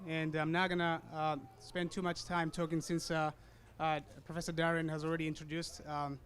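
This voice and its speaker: A young man from Rwanda, a student, giving an earnest, measured public talk with some hesitations; English is not his first language. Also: on the high side, monotone, a bit bright. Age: young adult. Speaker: student speaker. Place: university event tent. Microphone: hand-held or stand mic.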